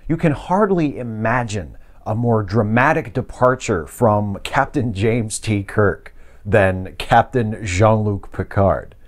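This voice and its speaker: A man delivering scripted video essay commentary with articulate, measured phrasing. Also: fairly low pitch, expressive.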